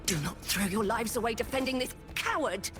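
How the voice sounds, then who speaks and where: An actress playing a defiant, commanding noblewoman with a forceful, declamatory manner in video game drama. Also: fairly high pitch, fairly quick, close to an everyday voice. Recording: music behind the voice.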